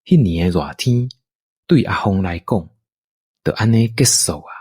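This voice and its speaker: Male narrator, Taiwanese accent. Very animated intonation, leisurely pace, storytelling for audio drama. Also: deep voice, husky, no room sound, clean studio sound. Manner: unhurried, expressive storytelling delivery.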